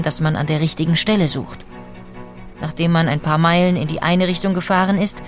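A woman reading scripted documentary narration as the narrator. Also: on the low side. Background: music.

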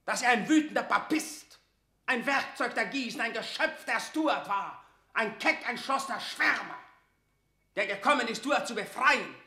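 Stern, calculating courtier for classical radio drama: high, cutting voice, declamatory and proud, roomy sound. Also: male voice, expressive, normal speed, exaggerated voice. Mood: accusing. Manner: declamatory, clipped, forceful. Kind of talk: classical German stage-verse radio drama.

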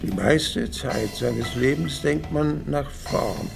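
An actor playing a reflective, disillusioned elderly radio dramatist in a monologue. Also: male voice, medium pitch, takes it slowly, vocal fry, over music. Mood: reflective, resigned. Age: older.

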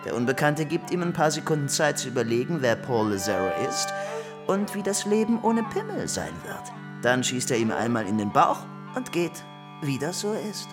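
A small, vengeful soldier in a radio play, spitting crude threats and boasts. His pitch swings widely from line to line, with music clearly playing underneath. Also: very animated, moderate pace. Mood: gloating menace. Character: small, vengeful, foul-mouthed soldier with a broken arm.

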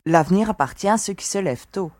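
A woman's voice: mid-range voice, expressive, fast, a little mellow, no echo, studio quality, natural voice. A woman playing a curious, self-possessed young woman in a science-fiction audio drama, speaking with poise. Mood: curious, composed.

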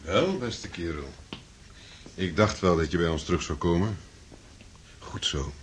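An actor playing a quietly menacing man in a radio drama, measured, patronising and mock-kindly. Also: deep voice, expressive, a little hiss or hum. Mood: falsely kind. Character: sinister, falsely soothing man, quietly menacing.

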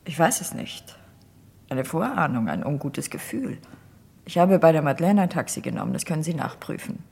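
An actress playing a proud, composed and imperious doctor's wife in a vintage German radio crime drama. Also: female voice, deep voice, very animated, moderate pace, vocal fry, darkish tone, slightly rough, exaggerated voice. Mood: composed, defensive. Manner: imperious, controlled, direct.

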